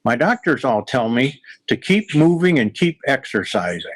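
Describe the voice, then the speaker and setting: A man's voice: mid-range voice, big pitch swings, moderate pace, slight background noise, poor audio. A man in his seventies introducing himself to a group. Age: 70s. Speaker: group participant. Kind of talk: self-introduction.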